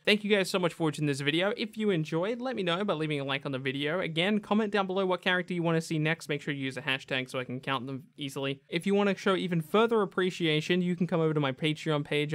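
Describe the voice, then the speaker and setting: A man's voice: mid-range voice, fairly bright tone. A young man hosting a gaming video with casual, enthusiastic, slangy commentary. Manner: chatty, slangy, effusive.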